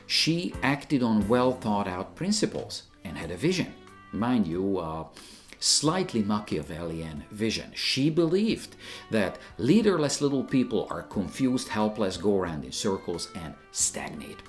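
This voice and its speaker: A man's voice: lowish voice, very animated, a little slow, soft background music. An older man from Hungary, a retired teacher giving an emphatic, opinionated scripted narration.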